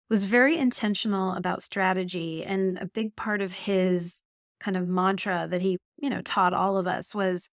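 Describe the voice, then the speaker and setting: Lively Canadian woman guest, dry sound. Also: mid-range voice, dry recording. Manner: animated storytelling, laughs often. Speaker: marketing executive guest. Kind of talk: podcast interview conversation.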